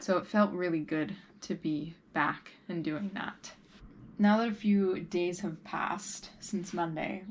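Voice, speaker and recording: A woman's voice: fairly low pitch, slight background noise. A woman talking casually and informally in a vlog, loosely rambling as she shares updates as an emerging playwright. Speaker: vlogger and early-career musical theatre playwright.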